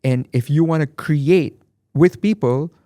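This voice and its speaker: A man's voice: a little high, unhurried, mellow, dry recording, clean studio sound. A man from Dubai, guest on an interview podcast, speaking reflectively and with emphasis, often repeating phrases. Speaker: guest artist. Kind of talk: conversational interview.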